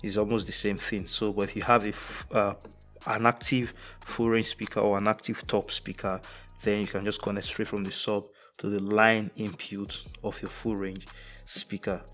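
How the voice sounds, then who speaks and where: A young man presenting an instructional tutorial on audio gear in a measured, methodical way. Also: fairly low pitch, little pitch movement, a bit bright, faint music behind. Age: young adult. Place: indoors, dark studio room.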